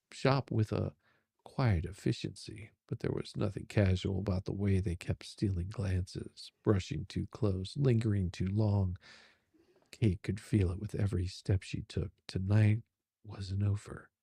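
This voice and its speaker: A man's voice: on the low side, fairly even intonation, measured pace, vocal fry, dark tone, husky, no room sound, clean studio sound. A man narrating a romance story in a dramatic, suspenseful, sultry style.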